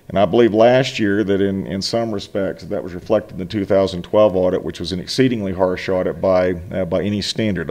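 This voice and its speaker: A middle-aged man, seated at a meeting table and speaking into a desk microphone, delivers a formal report to a board. His voice sits on the low side. He talks earnestly and with emphasis, and he restarts his phrases now and then. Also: darkish tone. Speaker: college finance officer.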